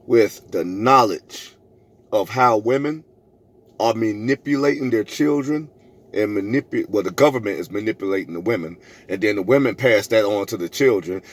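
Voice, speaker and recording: Emphatic middle-aged man telling stories. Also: fairly low pitch. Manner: emphatic, preachy, repeats phrases for effect. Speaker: vlogger telling a personal story. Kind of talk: animated storytelling to camera, re-enacting arguments.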